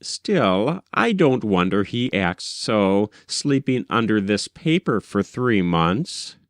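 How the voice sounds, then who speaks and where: A man reading a first-person short story as scripted literary narration, with measured, deliberate delivery. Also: on the low side, sing-song, dry recording, studio quality. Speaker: audiobook reader.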